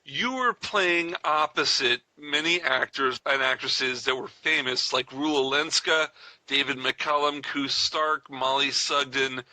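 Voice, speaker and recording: A man's voice: mid-range voice, unhurried, bright, forceful tone, no echo, low-quality recording. An American man hosting a casual radio interview as an eager, chatty fan. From United States.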